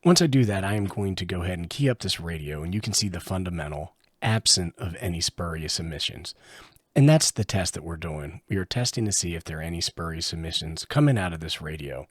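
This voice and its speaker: A man's voice: low voice, expressive, fairly quick, breathy edge, no echo, studio quality. A man giving a casual hands-on product review, explaining each step as he goes. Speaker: radio hobbyist reviewer, host.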